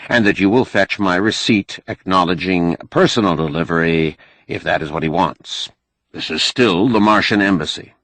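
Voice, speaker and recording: Man playing stubborn, gravelly, commanding boss. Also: low-pitched, a bit bright, no room sound, exaggerated voice. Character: shrewd, stubborn male boss giving firm orders.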